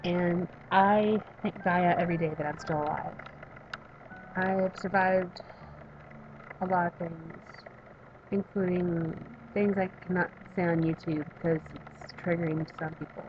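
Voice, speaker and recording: A woman in her thirties reading a visual novel aloud and chatting about it, emphatic while reading and quiet when talking about herself. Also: on the low side, fairly even intonation, a little slow, highs cut off, noisy background. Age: 30s. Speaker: let's play streamer reading a visual novel. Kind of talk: reading game text aloud and commenting.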